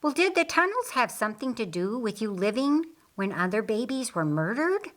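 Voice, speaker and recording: A woman's voice: a little high, big pitch swings, moderate pace, fairly bright tone. A woman voicing a curious, insistent brother in an audiobook story, direct and questioning. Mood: probing.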